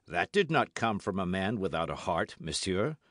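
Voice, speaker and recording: A man voicing a proud, gracious, wryly world-weary musketeer in formal, measured speech for a storybook. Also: low voice, big pitch swings, fairly bright tone, no room sound, studio quality, heavily acted. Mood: gracious, dry.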